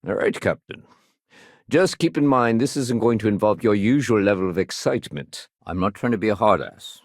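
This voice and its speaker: A man playing a dutiful, wry, level-headed space-colony security sergeant in a video game, with measured, conversational authority. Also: lowish voice, expressive, no room sound, clean studio sound. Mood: dutiful, courteous. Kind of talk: video game character dialogue.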